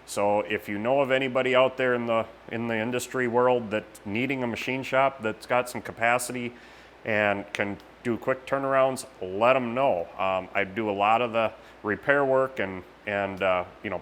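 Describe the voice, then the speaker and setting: Male vlog host, small-business tradesman, medium-pitched, plain and halting delivery, faint shop noise behind. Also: fairly bright tone. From United States. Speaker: machine shop owner and video host. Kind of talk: casual talk to camera, shop update.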